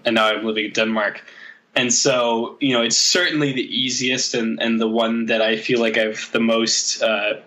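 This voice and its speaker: A man, a powerlifting coach, talking as a podcast guest in a relaxed, rambling way with many pauses. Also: mid-range voice. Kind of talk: casual podcast conversation.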